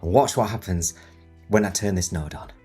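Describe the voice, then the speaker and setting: A deep-voiced man in his thirties, husky and croaky with a cold, rambles through a tutorial in his home studio. He jokes and mocks himself as he goes, and soft music plays faintly behind him. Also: moderate pace. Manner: rambling, joking, self-mocking. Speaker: video tutorial host. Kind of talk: casual chatty tutorial. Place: home studio set in a new house.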